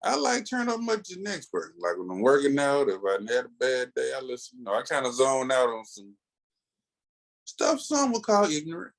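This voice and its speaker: A man with an American accent chatting casually as a podcast co-host, telling things in a slangy, animated, halting way. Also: mid-range voice, vocal fry. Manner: slangy, animated storytelling with many filler pauses. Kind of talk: casual chat.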